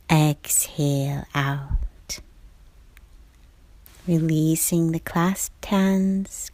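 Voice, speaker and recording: A woman leading a guided yoga class, giving calm, measured cues in short phrases, with a soft voice that turns breathy at phrase ends. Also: lowish voice, no echo. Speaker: yoga instructor.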